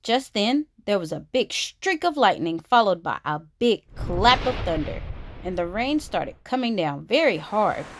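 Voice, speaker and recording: Woman, children's story reader, expressive, lively swooping pitch, dramatic emphasis. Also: unhurried. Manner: animated, dramatic emphasis for young listeners. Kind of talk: expressive read-aloud storytelling.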